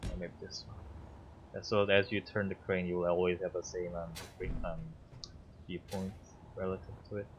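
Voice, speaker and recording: A man whose first language is Korean, streaming a game and thinking aloud in a halting way with many fillers. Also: deep voice, flat delivery, dull audio, background noise. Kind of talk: casual gameplay commentary.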